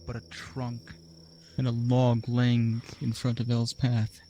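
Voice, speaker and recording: A man narrating a fantasy roleplay as game master, in a measured, descriptive storytelling manner. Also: medium pitch, monotone, muffled recording, slight background noise. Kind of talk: scripted-sounding fantasy narration in live roleplay. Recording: loud music behind the voice. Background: loud music.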